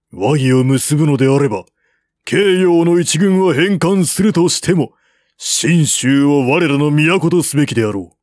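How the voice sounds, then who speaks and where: A man's voice: fairly high pitch, a little mellow, no room sound, studio quality. Man reading as a proud, defiant ancient general. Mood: stern, demanding. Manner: blunt, formal, martial speech. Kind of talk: audiobook reading of historical novel dialogue.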